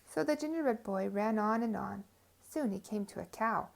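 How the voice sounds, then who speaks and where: A woman storyteller reading a children's tale aloud with expressive, emphatic phrasing. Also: mid-range voice, moderate pace, gravelly.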